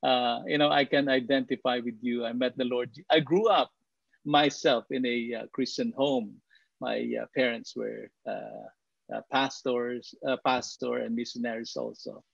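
A middle-aged man with a Filipino accent, interviewing guests as a show host in halting, deliberate phrases. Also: medium pitch, steady tone, gravelly, no room sound, highs cut off. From Philippines. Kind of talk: interviewing guests, switching between English and Tagalog. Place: indoors at home, on a video call.

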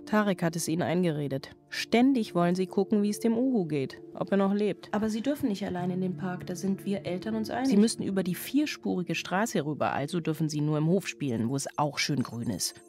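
Low-voiced weary single mother, music behind. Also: expressive, fairly quick, darkish tone. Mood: weary, tender. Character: weary single mother, inwardly brooding, loving yet overwhelmed. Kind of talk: radio drama interior monologue.

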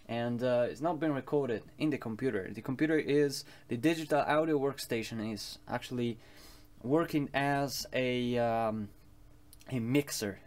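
A man giving an informal explainer as a home-studio musician, speaking in a halting way with many filler pauses. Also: medium pitch, a little mellow. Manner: halting, hesitant delivery with frequent long filler pauses. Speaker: home-studio musician presenting his recording process.

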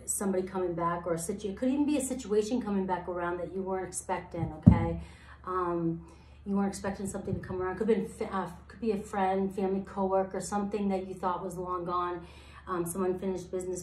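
Raspy-voiced woman reading tarot. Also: on the low side, a little mellow, a little hiss or hum. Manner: rambling, thinks aloud with many fillers. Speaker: tarot reader. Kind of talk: casual tarot reading to camera. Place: sparse room, indoors, seated at floor level. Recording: echoey empty room.

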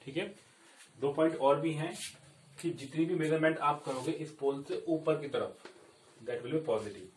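A man's voice: on the high side, a little slow, darkish tone, far from the mic, a little hiss or hum. A young man teaching at a chalkboard, explaining step by step and often checking in with "okay, kids". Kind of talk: chalkboard lesson. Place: classroom at a chalkboard. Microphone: camera set back from the board. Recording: echoey room, voice a bit off-mic.

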